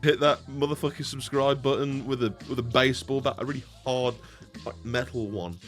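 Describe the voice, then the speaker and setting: A man's voice: mid-range voice, fairly even intonation, a little fast, fairly bright tone, soft background music. A man in his twenties hosting a casual, playful, sweary tutorial, speaking close to a desk microphone in a bedroom. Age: 20s. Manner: playful, sweary, mock-teasing. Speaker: tutorial host. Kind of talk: casual jokey software tutorial. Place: small bedroom, indoors. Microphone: desk boom-arm microphone, close.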